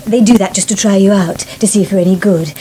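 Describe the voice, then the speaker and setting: An actress playing a sweet, hesitant young English fiancée in a naturalistic British radio soap. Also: female voice, mid-range voice, fast, dark tone, lightly acted, low-quality recording. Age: young adult. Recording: 1980s radio drama, faint background noise.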